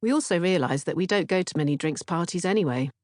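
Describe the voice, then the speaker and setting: A woman's voice: on the low side, expressive, quick pace, darkish tone, no room sound, clean studio sound. A woman narrating an audiobook from a script. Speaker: author-narrator reading audiobook, podcast co-host.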